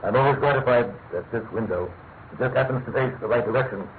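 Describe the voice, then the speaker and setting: Man acting older spy boss, dry, exacting, deliberate, radio drama, tinny narrow recording. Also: mid-range voice, natural voice. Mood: reflective, grave. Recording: muffled phone-like audio with faint noise.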